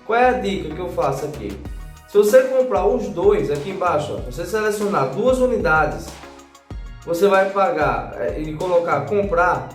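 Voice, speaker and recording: A man's voice: high-pitched, expressive, moderate pace, echoey room, soft background music. A Brazilian man giving a casual tutorial voice-over as a gadget reviewer, instructing viewers directly with frequent check-in tags. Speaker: gadget reviewer and channel host.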